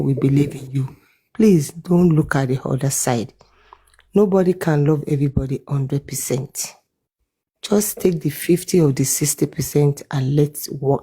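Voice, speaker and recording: A speaker in an online audio chat, urging and encouraging with earnest pleas. The voice is very dark and husky. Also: medium pitch. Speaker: chat participant. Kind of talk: casual group audio chat.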